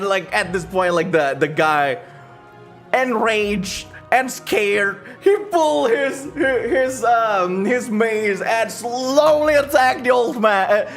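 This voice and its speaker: A man running an improvised tabletop game on a livestream as game master, telling the story in a halting way while thinking aloud. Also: sits high, fairly bright tone.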